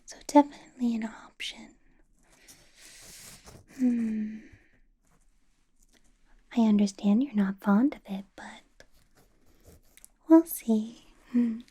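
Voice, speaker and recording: A possessive female vampire boss, played by a woman, murmurs in a soft, breathy whisper, unhurried and slow. Her voice sits a touch high and sounds dark and mellow, playful yet controlling in an ASMR roleplay. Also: heavily acted. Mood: teasing whisper. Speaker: ASMR artist.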